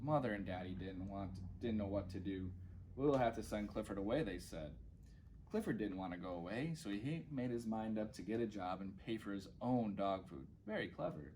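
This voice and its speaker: Lowish-voiced man reading a children's storybook. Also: expressive, moderate pace, a little mellow, a little hiss or hum, low-quality recording. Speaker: guest children's story reader.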